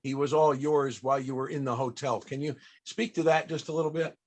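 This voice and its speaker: A man hosting a panel interview in a conversational way, with halting, effusive phrasing as he works toward his questions. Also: medium pitch, steady tone.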